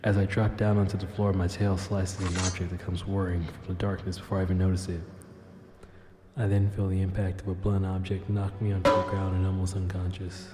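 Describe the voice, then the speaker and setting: A man's voice: deep voice, monotone, brisk, big-room sound, over loud music, lightly acted. A man playing a cocky, wry, battered cyberpunk infiltrator in an audio drama, both narrating and speaking in character. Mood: tense narration. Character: cocky wounded tailed infiltrator, wry cyberpunk action hero. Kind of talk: audio drama dialogue with first-person narration.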